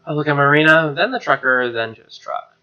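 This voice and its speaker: A man's voice: fairly high pitch, brisk, smooth. A man reading a visual novel aloud, mixing story narration with casual, sweary, incredulous asides. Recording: dull lo-fi mic under guitar music.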